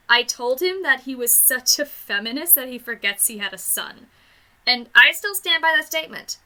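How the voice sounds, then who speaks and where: A woman with a high, very bright voice delivers a scripted review. She speaks casually and emphatically, gushing with enthusiasm like an eager fan. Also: low-quality recording. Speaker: video reviewer.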